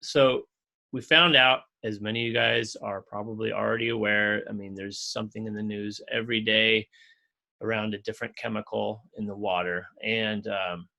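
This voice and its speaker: Contractor guy being interviewed, low voice w/ lots of gravel, earnest and excited telling his story. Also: moderate pace, low-quality recording. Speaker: home contractor, guest. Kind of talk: casual interview answers.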